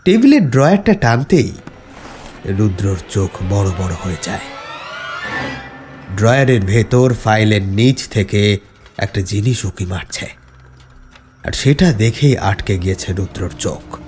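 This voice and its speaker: A man's voice: fairly low pitch, unhurried, long pauses, slight background noise. A man narrating a scripted horror story in a dramatic, suspenseful way. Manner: suspenseful, expressive.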